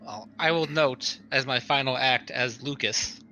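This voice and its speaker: A man's voice: medium pitch, unhurried, sharp tone, a little hiss or hum, low-quality recording. A man playing in a tabletop roleplaying game, chatting casually as he takes his turns.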